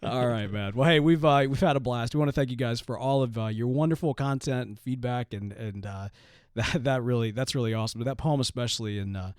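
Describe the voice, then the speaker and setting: A man co-hosting a podcast, talking in an animated, casual way with lots of fillers and restarts. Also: mid-range voice, fairly quick, smooth, no room sound, clean studio sound. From Southern US. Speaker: podcast co-host. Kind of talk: casual chat.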